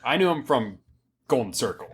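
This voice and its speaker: A man's voice: mid-range voice, very animated, moderate pace, a bit bright. A man co-hosting a podcast in casual, informal chat, often qualifying what he says. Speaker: podcast co-host.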